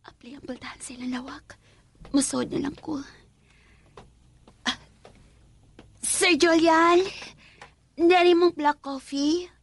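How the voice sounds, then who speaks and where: Anxious young housemaid, very high voice, Filipino accent, timid, hesitant, deferential, radio drama. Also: female voice, full character voice.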